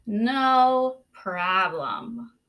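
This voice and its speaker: A woman's voice: medium pitch, expressive, drawn-out words, a bit bright, poor audio. A woman voicing an eager, stubborn little boy in a storybook read-aloud, with exaggerated, emphatic exclamations. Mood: confident, breezy.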